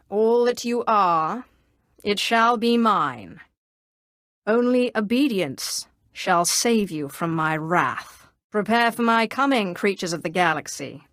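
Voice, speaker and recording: An actress playing an imperious, menacing Sith sorceress risen from slavery in a video game, with a haughty, commanding delivery. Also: female voice, mid-range voice, animated, slow pace, fairly bright tone, no echo, studio quality. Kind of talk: scripted game dialogue.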